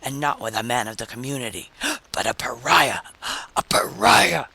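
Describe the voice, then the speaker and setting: A man's voice: sing-song, moderate pace, creaky, slightly rough, heavily acted. A man voicing an enraged, jealous husband with a nasal, gasping voice, ranting in short phrases in a vintage radio science fiction drama. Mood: furious. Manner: shrill, screechy, rising to yells. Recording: noisy vintage radio recording.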